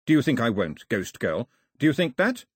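A man voicing a serious, proud young island chief with a measured, blunt way of speaking. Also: mid-range voice, very animated, slightly rough, no room sound, clean studio sound. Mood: indignant.

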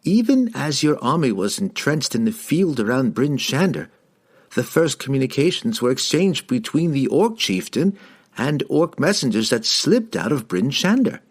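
A man voicing a sly halfling in a fantasy audiobook, feigning meek obedience in measured, persuasive speech. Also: mid-range voice, very animated, breathy edge, pitched above the performer's own voice.

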